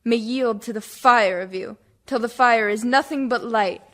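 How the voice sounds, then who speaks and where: Woman acting a yearning, high-voiced spinster teacher. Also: measured pace, fairly bright tone. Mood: prayerful. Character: devout, tender, yearning spinster schoolteacher. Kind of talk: dramatic monologue for radio play.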